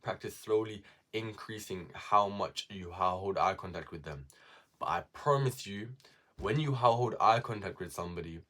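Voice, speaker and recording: Young guy, really low voice, chatting out self improvement tips, repeats words to drive them home. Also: a bit bright. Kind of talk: casual advice talk to viewers.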